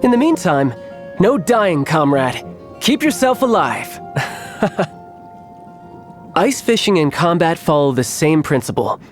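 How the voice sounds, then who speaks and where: A man's voice: fairly high pitch, big pitch swings, takes it slowly, pauses often, faint music behind. An actor playing a cocky, battle-hungry young male warrior in a video game, theatrical, teasing and self-assured. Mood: playful, confident. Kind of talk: scripted video game character voice lines.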